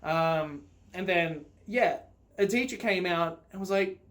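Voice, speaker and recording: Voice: medium pitch, slow pace, a bit bright. A vlogger telling personal stories to viewers in a rambling, informal, sweary way, often ready to laugh. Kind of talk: casual personal storytelling to viewers.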